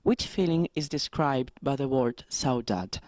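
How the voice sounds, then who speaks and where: A man's voice: medium pitch, measured pace, darkish tone, slight background noise. A young man from Greece reading out prepared interview questions outdoors in plain, careful English. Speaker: student interviewer. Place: outdoors in front of a museum.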